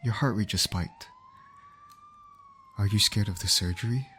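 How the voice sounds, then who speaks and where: A man playing a gentle, reassuring ambulance medic in an ASMR comfort roleplay, speaking in a soothing, coaxing, attentive way. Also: lowish voice, measured pace, slight background noise. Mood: concerned, soothing. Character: gentle, reassuring male ambulance medic tending an injured patient.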